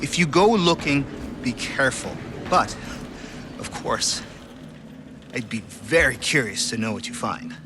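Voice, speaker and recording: An actor playing a cautious scientist in a video game, speaking in a measured, dryly understated way. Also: male voice, medium pitch, animated, background noise, close to an everyday voice. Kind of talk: video game cutscene acting.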